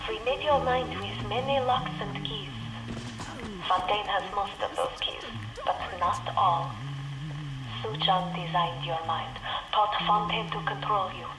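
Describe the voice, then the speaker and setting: Solemn scientist, echoing voice. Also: female voice, mid-range voice, expressive, noisy background. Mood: grave, explaining. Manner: grave, measured, formal phrasing. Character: solemn, maternal woman scientist guiding her child. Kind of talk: video game character narration. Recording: echoey, radio-like, music behind. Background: music.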